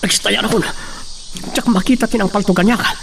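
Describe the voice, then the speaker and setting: A man's voice: sits high, steady tone, fast, vocal fry, fairly bright tone, a little hiss or hum. A man acting a tense scene in a radio drama, talking to himself, with music underneath.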